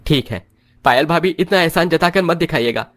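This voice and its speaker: Man acting an earnest young husband, raised pitch. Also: lively intonation, moderate pace, fairly bright tone. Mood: indignant. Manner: earnest, emotional. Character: poor young husband, caring and proud.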